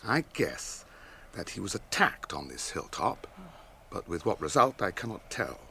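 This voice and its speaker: A watchful wilderness ranger with a British accent for a radio play. His grave delivery swings expressively in a low register, measured like a storyteller's. Faint ambience sits behind him. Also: big pitch swings, vocal fry, pushed and bright, slight background noise, exaggerated voice. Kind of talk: radio drama acting.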